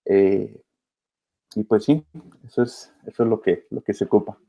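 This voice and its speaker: A man's voice: lowish voice, steady tone, normal speed, gravelly, warm tone. A man answering interview questions casually and earnestly, often hesitating as he searches for words. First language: Spanish. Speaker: interview guest, migrant children's advocate. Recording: slightly dull, lo-fi sound.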